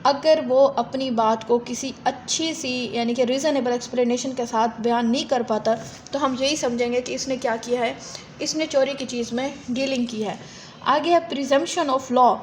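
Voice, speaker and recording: Female lecturer, Pakistani accent, high voice, unhurried teaching delivery, faint background noise. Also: low-quality recording. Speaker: law lecturer. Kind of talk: lecture, reading English text aloud and explaining it in Urdu.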